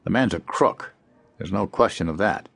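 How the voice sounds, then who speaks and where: An actor playing a wry, cynical district attorney in a radio drama, with a dry way of talking. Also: male voice, deep voice, normal speed, gravelly, fairly bright tone, exaggerated voice. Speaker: prosecutor. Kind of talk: 1930s-style radio drama acting.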